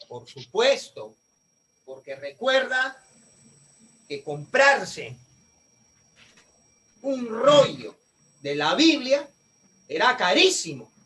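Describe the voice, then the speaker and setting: A man teaches a history class, often tossing questions to students and answering them himself. His voice sits very high for a man. He sounds a bit distant from the mic. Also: very animated, extremely slow, long pauses, pushed and bright, a little hiss or hum. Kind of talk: online class lecture.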